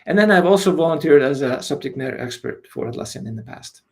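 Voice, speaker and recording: A man in his forties, born in Iceland, talking as a guest in a relaxed, hesitant way with many fillers over a video call. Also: fairly high pitch, fast. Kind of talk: casual interview.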